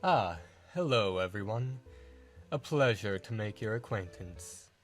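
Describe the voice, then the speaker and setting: A man acts out a smug, theatrical gentleman witness in an audio drama. His voice is fairly low and he speaks very slowly, savoring grand, taunting phrases. Faint music plays underneath. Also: lively intonation. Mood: self-satisfied. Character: smug, theatrical male writer and false witness.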